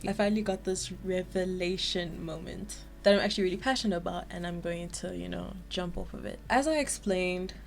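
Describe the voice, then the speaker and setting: A woman's voice: fairly low pitch, a little fast, gravelly, few pauses, a little hiss or hum. Chatty young South African woman vlogger. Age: young adult. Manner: chatty, animated, frequent "like" fillers. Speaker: art vlogger. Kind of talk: casual chat.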